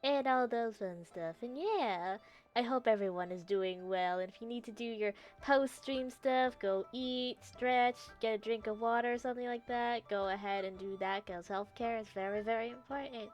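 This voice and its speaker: Girl streaming a game, highish voice, casual chat, soft music behind. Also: moderate pace. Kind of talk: casual livestream chat and reactions.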